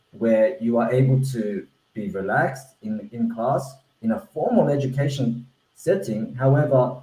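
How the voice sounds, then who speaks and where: Mellow-voiced man, roomy echo. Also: medium pitch. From Australia. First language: Korean. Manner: earnest, with frequent restarts and fillers. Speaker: founder presenting a language-learning platform. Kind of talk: online webinar presentation. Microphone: video call.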